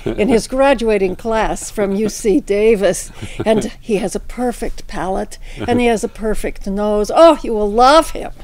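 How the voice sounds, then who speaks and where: A woman's voice: mid-range voice, a little slow, darkish tone. An older woman delivers a prepared tribute in a formal style. Her phrasing is ornate and emphatic, with a theatrical touch. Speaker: winery founder sending a tribute.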